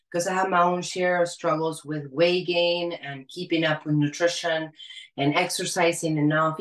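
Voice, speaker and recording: A woman, telling her personal story as a guest in an earnest, conversational way over a video call. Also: sits low. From Argentina. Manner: earnest, conversational, frequent "you know" and "right?". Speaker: wellness consultant guest. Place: small room against a plain wall. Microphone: video call, earbuds with cord. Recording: echoey room on a video call.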